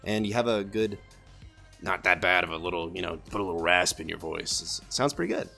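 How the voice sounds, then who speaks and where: A man's voice: low-pitched, a little fast, vocal fry, pushed and bright, faint music behind. A man with a nasal voice chatting casually as a guest voice actor, self-deprecating and laughing often. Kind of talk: casual chat.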